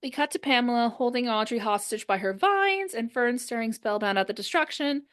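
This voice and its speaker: Female narrator, higher-pitched voice, reading stage directions for a podcast table read. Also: animated, fairly quick, fairly bright tone. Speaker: host and narrator. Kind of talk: reading a script's stage directions aloud among friends.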